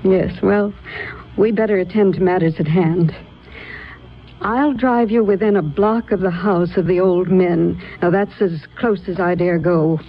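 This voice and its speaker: An actress in a radio drama playing a kindly, composed mentor in witchcraft, speaking in a measured, formal, reassuring way. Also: on the low side, fairly even intonation. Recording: muffled, noisy radio broadcast.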